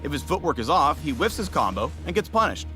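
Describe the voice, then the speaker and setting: A man's voice: fairly high pitch, sing-song, quick pace, pushed and bright, soft background music. A man giving upbeat, playful scripted narration for a game's champion showcase. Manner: upbeat, playful announcer delivery. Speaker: game spotlight narrator.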